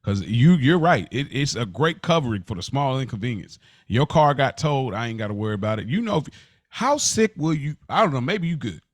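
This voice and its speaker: A man co-hosting a podcast, talking in a relaxed, rambling way with many restarts. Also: medium pitch, a little fast, dry recording. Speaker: podcast co-host. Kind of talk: casual chat.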